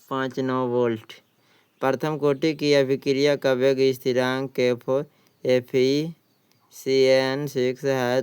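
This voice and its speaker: A man teaching exam prep, reading out practice questions and deliberately repeating each answer. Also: mid-range voice, darkish tone, smooth, no room sound.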